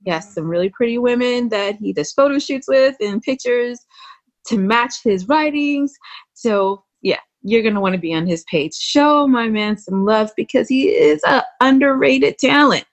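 A woman's voice: on the high side, animated, moderate pace. A woman hosting a casual podcast interview, talking in an informal, flirtatious and teasing way. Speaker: podcast host.

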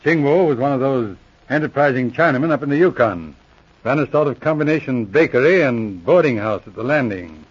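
An actor playing a brisk, level-headed police inspector with a matter-of-fact manner in a 1940s-style radio drama. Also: male voice, medium pitch, expressive, husky. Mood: relaxed, reminiscing. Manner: matter-of-fact, clipped questioning. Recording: dull old radio recording.

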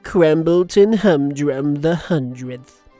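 A man playing an earnest, literal-minded radio sidekick in a formal, deadpan way for a children's audio drama. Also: on the high side, slow, dry recording, faint music behind. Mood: polite, pedantic.

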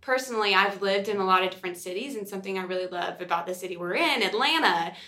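Bright-voiced woman co-hosting a podcast. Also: mid-range voice, fast. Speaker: podcast co-host. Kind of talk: conversational podcast discussion.

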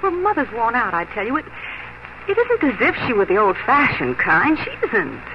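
A woman's voice: a little high, very animated, normal speed. An actress playing an impulsive, emphatic young woman visiting her parents, in a 1950 radio drama. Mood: earnest. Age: young adult. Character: lively, bossy young woman, a city artist. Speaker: grown daughter. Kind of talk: 1950s radio drama acting. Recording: hissy lo-fi 1950 radio broadcast.

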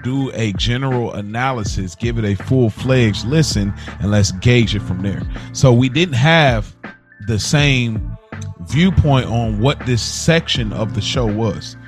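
A man co-hosting a casual podcast, explaining himself in an earnest, measured way. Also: medium pitch. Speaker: podcast co-host. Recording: voice over music bed. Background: music.

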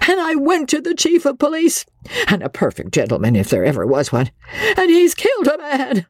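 A woman voicing a frantic, strict middle-aged wife with a high, quavering, breathless voice. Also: huge pitch swings, normal speed, no echo, studio quality. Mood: shrill.

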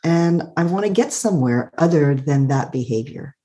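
A middle-aged woman with a calm, soothing voice, thoughtfully telling her life story as an interview guest and laughing often. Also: sits low, moderate pace, faint music behind. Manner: warm, thoughtful, laughs often, uses "like" a lot. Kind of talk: reflective personal storytelling.

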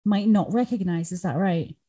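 Woman, podcast host, warm mellow tone, enthusiastic. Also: mid-range voice, normal speed. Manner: enthusiastic, affirming. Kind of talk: podcast interview.

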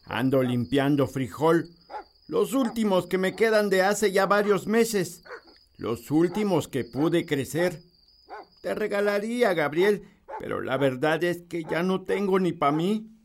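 An actor playing an old village farmer and healer, nearly seventy, who speaks plainly. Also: male voice, high voice, expressive, slight background noise. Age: older. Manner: slow, unhurried, plainspoken. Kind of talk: radio drama acting.

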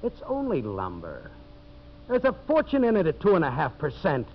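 Brash lumber boss, swinging pitch. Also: male voice, huge pitch swings, normal speed. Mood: pushy, impatient. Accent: American. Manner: pushy, wheedling, blunt salesman's talk. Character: brash, greedy, hard-bargaining male lumber boss. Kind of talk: 1950s American radio drama acting. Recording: muffled, noisy 1950s radio recording.

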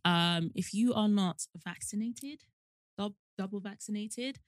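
Casual young woman, medium-pitched, dry sound. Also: unhurried, no echo. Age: 20s. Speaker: podcast co-host. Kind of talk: casual group chat.

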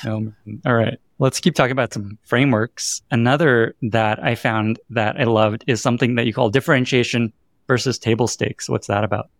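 A man's voice: medium pitch, steady tone, moderate pace, vocal fry, no echo, studio quality. A man hosting a podcast, asking short, enthusiastic questions. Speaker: podcast host. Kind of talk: interview questions.

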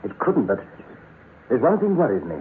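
An actor playing a sceptical, uneasy male visitor, brisk and questioning, in a 1960s radio drama. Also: mid-range voice, very animated, lightly acted. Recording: noisy, muffled old radio recording.

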